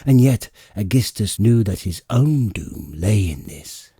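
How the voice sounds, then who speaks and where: Exasperated ruler of the gods, read by a male narrator: husky, ponderous, lordly, slow-spoken, epic storybook style. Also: lowish voice, lively intonation, mellow, dry recording, clean studio sound, exaggerated voice. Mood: indignant, weary.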